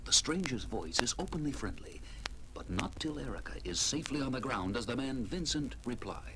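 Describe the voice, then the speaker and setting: An actor as the melodramatic, portentous storyteller of a gothic horror tale on a 1970s audio drama. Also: male voice, mid-range voice, lively intonation, fairly quick, noisy background, natural voice. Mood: dramatic. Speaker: narrator. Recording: loud dramatic music under narration. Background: loud music.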